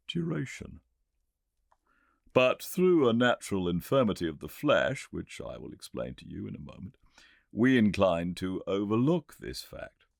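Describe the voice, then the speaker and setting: Man playing an animated British gentleman inventor, lecturing. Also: fairly low pitch, gravelly, no room sound, studio quality, pitched above the performer's own voice, heavily acted. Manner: emphatic, didactic, formal, deliberately pointed phrasing. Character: earnest, learned Victorian gentleman inventor, lecturing with relish. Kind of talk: audiobook character reading.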